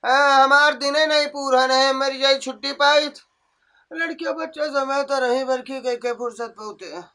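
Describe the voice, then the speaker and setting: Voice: high voice, steady tone, moderate pace, sharp tone. A performer doing a wailing old mother-in-law. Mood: grumbling. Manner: wailing, moaning, nagging. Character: groaning old mother-in-law, cranky and complaining.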